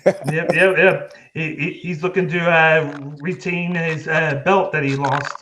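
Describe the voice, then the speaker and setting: Halting host on a noisy line. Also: male voice, fairly high pitch, steady tone. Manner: hesitant, many uh fillers and restarts. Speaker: podcast host. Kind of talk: casual interview hosting.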